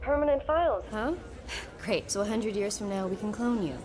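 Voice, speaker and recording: Actress as teasing young girlfriend, medium pitch. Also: lively intonation, normal speed, darkish tone, natural voice, rough recording. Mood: playful. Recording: music under the voice.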